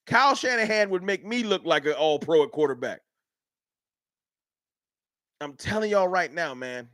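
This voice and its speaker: A man's voice: a little high, moderate pace, no room sound, studio quality. A man from Dallas, hosting a live sports fan stream and arguing heatedly with his chat. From Dallas, Texas. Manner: animated, argumentative, heated ranting. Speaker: sports fan livestream host.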